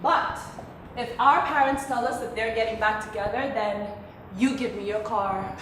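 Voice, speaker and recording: A woman's voice: on the high side, normal speed, fairly bright tone, lightly acted. A woman playing a rich businessman's ambitious, teasing daughter in a stage play, projecting sardonic banter. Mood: confident, teasing. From Tanzania. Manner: sardonic sibling banter, projected theatrical delivery. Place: theatre stage, picked up from a distance. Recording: echoey theatre, distant mic, faint noise.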